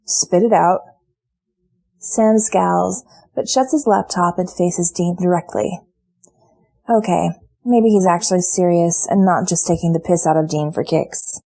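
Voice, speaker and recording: A woman narrating a story in a relaxed, conversational way. Her voice sits a little low and sounds mellow and warm rather than bright. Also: a little slow, vocal fry. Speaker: fan fiction reader. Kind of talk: audiobook narration.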